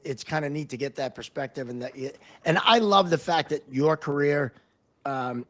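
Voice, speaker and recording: An American man with a medium-pitched voice hosts a casual podcast interview. He speaks informally, halting and doubling back on words as he goes. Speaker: podcast host, hockey referee.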